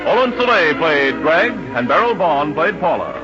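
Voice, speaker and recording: A man's voice: fairly high pitch, very animated, normal speed. A man reading scripted credits as a 1940s radio announcer. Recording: dull 1940s radio broadcast recording. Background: music.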